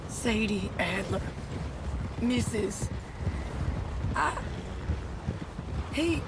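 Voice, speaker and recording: An actress playing a shaken, grieving young frontier widow in a western game, distraught and halting. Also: female voice, mid-range voice, expressive, heavy background noise. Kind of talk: cinematic western game acting.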